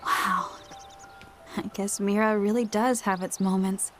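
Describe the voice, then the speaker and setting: A dutiful, overworked chief engineer played by a woman in a video game cutscene. She sounds mild, polite and earnest at a medium pitch, with music playing behind her. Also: steady tone, a little slow, close to an everyday voice. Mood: relaxed, appreciative.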